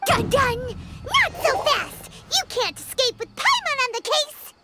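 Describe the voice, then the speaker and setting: A woman voicing a tiny, cheeky, excitable childlike fairy companion in a video game. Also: extremely high pitch, unhurried, full character voice. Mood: bold, cheeky.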